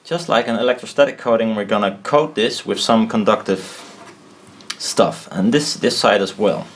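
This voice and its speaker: A man explaining a homemade build informally at a desk, thinking aloud, blunt and halting, often correcting himself. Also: fairly low pitch, slight background noise. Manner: halting, self-doubting, trailing off and correcting himself. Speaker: maker explaining a DIY build. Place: indoors at a desk.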